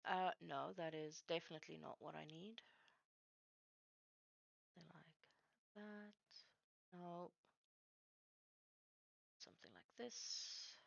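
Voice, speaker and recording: Creaky-voiced woman, native Afrikaans speaker. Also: on the low side, no echo, lo-fi sound. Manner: halting, searches for words. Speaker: streamer building game maps live. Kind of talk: casual chat while working.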